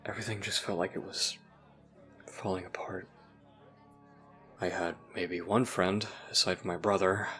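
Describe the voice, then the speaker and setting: Man acting a troubled teenager, very low halting voice. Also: flat delivery, over music, lightly acted. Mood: self-blaming, anguished. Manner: halting, confessional, trailing off. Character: troubled, self-blaming human teenager confiding in a friend. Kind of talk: audio roleplay voice acting.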